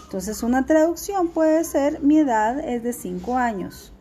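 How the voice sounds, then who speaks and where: A woman teacher, giving a recorded class lesson in a clear, instructive way and repeating key words slowly. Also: high voice, lively intonation, mellow, slight background noise.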